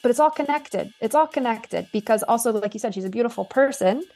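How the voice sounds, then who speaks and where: A woman's voice: a little high, expressive, fast, dark tone, soft background music. A woman co-hosting a podcast, chatting casually and enthusiastically with plenty of filler words. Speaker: podcast co-host. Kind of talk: casual chat.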